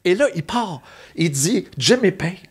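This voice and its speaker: A man with a Québécois French accent telling animated, rambling anecdotes in a casual chat. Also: high-pitched, unhurried, husky. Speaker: guest, former music TV host.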